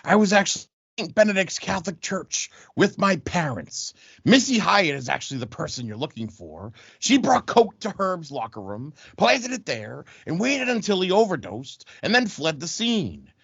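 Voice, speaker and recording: A man's voice: high voice, very animated, normal speed, a bit bright, no room sound, heavily acted. A man playing a slick, scheming wrestling promoter in an amateur comedy audio play, defending himself emphatically. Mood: slick, insistent. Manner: emphatic, smooth-talking self-defense. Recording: dry, dull lo-fi home recording.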